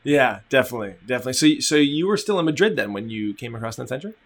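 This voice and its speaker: Creaky-voiced man interviewing casually. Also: medium pitch, big pitch swings, rapid-fire, a bit bright.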